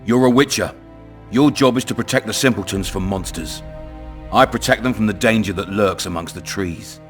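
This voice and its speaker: An actor with a British accent playing a crude, cunning, menacing town commandant in a video game. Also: male voice, on the low side, normal speed, soft background music, natural voice. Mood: calculating. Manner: domineering, coarse, swaggering. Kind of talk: video game dramatic dialogue.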